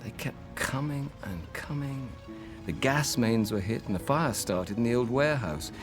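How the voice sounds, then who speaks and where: Actor as a lively, wry wartime sweetheart. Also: male voice, medium pitch, normal speed, over music, close to an everyday voice. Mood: shaken. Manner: dry understatement, self-deprecating. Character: wry, brave young wartime man, self-deprecating sweetheart. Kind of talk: radio drama acting.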